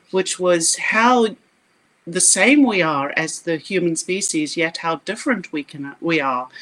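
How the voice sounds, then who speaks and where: A woman's voice: lowish voice, bright, forceful tone. A middle-aged woman from South Africa with a South African accent, a scientist guest speaking earnestly and with passion in a podcast interview. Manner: earnest, emphatic, passionate storytelling.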